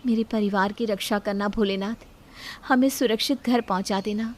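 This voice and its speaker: A gentle young wife played by a woman narrator in a cartoon moral story. She is earnest and pious, with a mid-pitched voice. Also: steady tone, normal speed, natural voice. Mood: pleading. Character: devout, gentle young wife and daughter-in-law.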